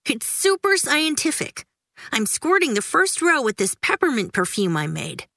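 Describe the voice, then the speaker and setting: A woman playing an eager young boy in a storybook, earnest and raised in pitch. He chatters about his experiments in a bright, lively voice, close and dry. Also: moderate pace, creaky, no echo, heavily acted. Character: curious seven-year-old boy, science nut.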